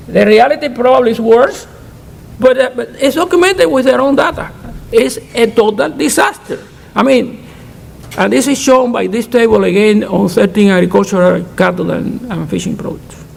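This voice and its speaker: A man's voice: very high-pitched, moderate pace, vocal fry, husky. An older man on a conference panel, answering audience questions in a frank, emphatic way and restarting words as he goes. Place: conference hall. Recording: reverberant hall.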